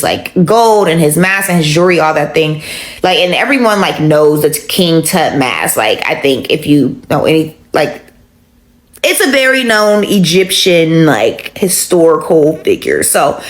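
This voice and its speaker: A woman with a slightly low voice tells a travel story in a casual, exasperated way. She keeps saying "like" as she recounts her complaints. It sounds like a chatty vlog. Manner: animated, exasperated, heavy use of "like". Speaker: travel vlogger.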